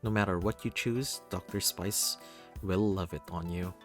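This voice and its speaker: Male narrator, low register, expressive first-person story reading with theatrical flair, faint music underneath. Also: a little slow. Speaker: reader of a visual novel.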